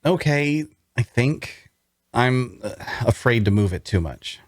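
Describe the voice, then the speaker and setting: A man's voice: medium pitch, expressive, slow pace, no echo. A man playing a weary, wary wounded prisoner who also narrates his story in an audio drama table read. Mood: wary, hesitant.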